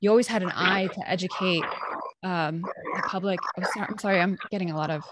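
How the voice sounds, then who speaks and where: A woman's voice: lowish voice, fast. A middle-aged woman moderating an online panel over a video call. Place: indoor room. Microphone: video call device microphone. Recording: video call with faint noise.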